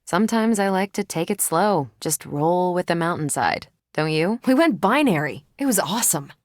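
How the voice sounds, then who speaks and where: A woman's voice: fairly low pitch, lively intonation, normal speed, dry recording, close to an everyday voice. Woman doing a cocky wisecracking superhero lady for a video game, american accent, punchy delivery, dry studio sound. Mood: confident banter. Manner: wry, punchy, self-assured. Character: confident, wisecracking, battle-ready female superhero. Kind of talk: video game character voice lines.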